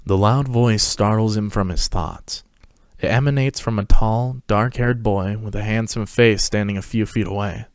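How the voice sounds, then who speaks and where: A man's voice: on the low side, fairly even intonation, normal speed, smooth, no echo, studio quality. A man narrating a scripted story as a podcast host, reading it dramatically.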